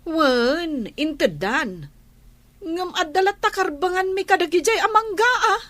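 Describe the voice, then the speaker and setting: Actress as greedy young-sounding Ilocano wife for radio drama: very high, sharp, whiny, always arguing. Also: female voice, animated, a little slow, heavily acted. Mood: curious, disgruntled. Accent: Filipino, Ilocano. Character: greedy, complaining married daughter-in-law demanding a bigger share.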